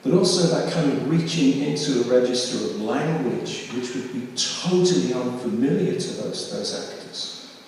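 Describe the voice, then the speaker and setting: A man giving reflective academic commentary, choosing his words carefully with hesitant pauses. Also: mid-range voice, lively intonation, darkish tone. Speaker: discussion participant. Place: large reverberant room at a public discussion. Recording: echoey hall from far off, faint noise.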